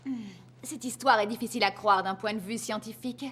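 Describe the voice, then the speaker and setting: A woman's voice: medium pitch, a little fast, a bit bright, close to an everyday voice. A woman playing a principled, serious scientist in a cartoon, earnest and explanatory. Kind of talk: dubbed cartoon acting.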